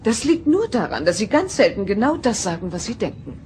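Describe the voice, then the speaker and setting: A woman's voice: medium pitch, very animated, brisk, a little mellow, a little hiss or hum, natural voice. An actress playing a haughty, meddling middle-aged alien mother in a sci-fi audio drama, theatrical and condescending. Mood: imperious.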